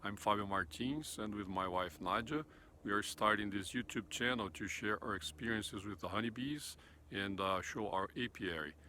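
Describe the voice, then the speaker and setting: A man's voice: lowish voice, moderate pace, pushed and bright, slight background noise. A man from Brazil with a Brazilian Portuguese accent, talking in a hesitant, filler-heavy way while showing his backyard beehives outdoors. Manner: hesitant, many "uh" fillers and restarts. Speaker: beekeeper, channel host. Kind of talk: casual walkthrough vlog. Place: outdoors in a wooded backyard apiary.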